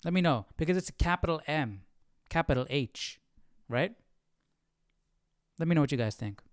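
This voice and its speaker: A man's voice: on the high side, expressive, moderate pace, no echo, studio quality. A man hosting a Bible study livestream in casual chat, questioning and thinking aloud informally. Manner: informal, questioning, muttering to himself.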